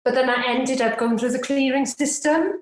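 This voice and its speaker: A woman's voice: sits high, steady tone, normal speed. A Welsh woman in her forties, a native Welsh speaker from Cardiff, chatting casually as a podcast guest in a self-deprecating way with lots of fillers. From Cardiff, Wales. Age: 40s. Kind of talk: casual interview chat. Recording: roomy echo.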